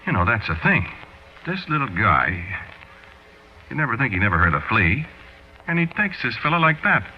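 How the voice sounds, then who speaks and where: A man's voice: mid-range voice, very animated, normal speed, close to an everyday voice. An actor playing an uneasy American hard-luck man of the streets, telling his story in world-weary slang, in 1940s radio style. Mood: grim, uneasy. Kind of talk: 1940s radio acting, first-person narration. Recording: dull 1940s radio recording with music.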